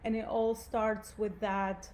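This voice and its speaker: A woman's voice: medium pitch, level tone, slow, darkish tone, a little hiss or hum. A woman from Albania with an Albanian accent, giving an earnest, conversational motivational talk to live viewers and often asking "right?". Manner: earnest, conversational, frequent "right?" and "you know".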